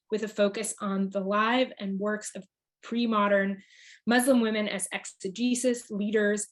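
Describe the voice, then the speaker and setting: Woman, medium pitch, panel co-host, formal introductions, event speaking. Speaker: artist co-hosting a panel event.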